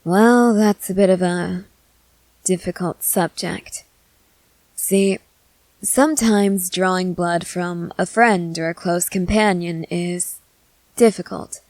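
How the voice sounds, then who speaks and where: Woman voicing a slow, creaky, commanding vampire woman. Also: lowish voice, steady tone, a little mellow, no echo, low-quality recording. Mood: coolly coaxing. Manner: measured, deliberate, formal phrasing. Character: protective, controlling century-old female vampire, calm and firm. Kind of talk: scripted ASMR audio roleplay.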